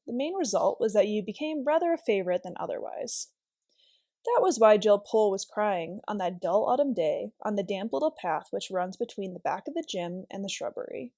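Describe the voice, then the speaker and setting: A woman's voice: fairly high pitch, dark tone, no echo, studio quality. A woman reading aloud as a volunteer storyteller, narrating a children's novel expressively.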